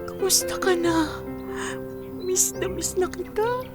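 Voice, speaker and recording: A woman's voice: very high voice, takes it slowly, over loud music, full character voice. A woman playing a loving, weary middle-aged mother in a Filipino radio drama, with a tender, coaxing, sighing manner. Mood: affectionate.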